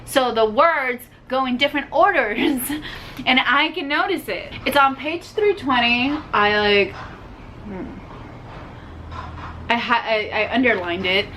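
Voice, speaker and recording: A woman's voice: fairly high pitch, measured pace, bright, forceful tone, slight background noise. A young woman whose first language is Spanish, chatting casually and ranting animatedly about a book, with lots of fillers. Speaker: book vlogger. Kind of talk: casual book review chat.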